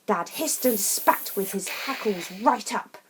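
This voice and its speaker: Slow, animated Englishwoman reading a storybook. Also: medium pitch, slight background noise. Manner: theatrical, deliberate, emphatic. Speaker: storyteller reading a children's picture book. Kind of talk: expressive rhyming storybook narration.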